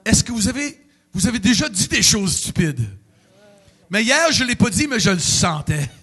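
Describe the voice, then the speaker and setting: A middle-aged man, preaching a sermon in a church with emotional, animated delivery and sudden outbursts. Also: high-pitched, normal speed, husky. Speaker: pastor.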